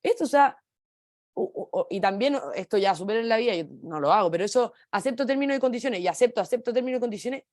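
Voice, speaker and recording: A young woman on a radio panel, a law student who talks quickly and hesitantly, searching for words. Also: on the high side, expressive, darkish tone, no echo, clean studio sound. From Chile.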